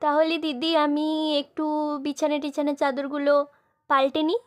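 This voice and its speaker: A woman's voice: high-pitched, little pitch movement, normal speed, faint music behind, voice pushed up, natural voice. A woman voicing an eager, agreeable younger sister doll who is keen to help, in a children's doll story. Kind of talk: doll-play storytelling dialogue.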